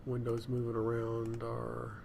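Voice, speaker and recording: A man's voice: mid-range voice, monotone, unhurried, gravelly, a little mellow. A man giving a casual screencast demonstration, thinking aloud in a rambling way as he works. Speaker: software tutorial presenter. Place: indoors at a computer. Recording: noisy room with keyboard typing. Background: room noise and keyboard typing.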